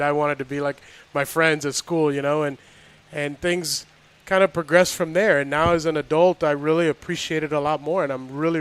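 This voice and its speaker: A man's voice: fairly high pitch, fairly bright tone, smooth. A man from Miami, a volleyball coach, answering interview questions in an earnest, enthusiastic way. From Miami, Florida. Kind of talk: casual interview.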